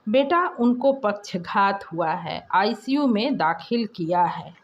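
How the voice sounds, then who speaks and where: A woman's voice: mid-range voice, animated, slow, lo-fi sound. A woman voicing a distressed middle-aged mother in an audio story, worried, pleading and close to tears. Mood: anxious, pleading.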